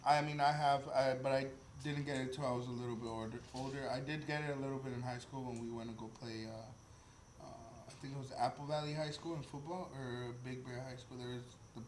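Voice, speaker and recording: A man's voice: medium pitch, steady tone, brisk. Echoey young man in casual podcast chat. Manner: informal, swearing, hesitant phrasing. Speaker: podcast host. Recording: echoey room, faint background noise.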